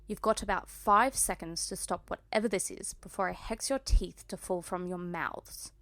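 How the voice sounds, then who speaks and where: A woman voicing an aloof, menacing aristocratic teenage schoolboy wizard in a story reading, cold, sharp and measured. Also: on the low side, no echo. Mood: cold threat.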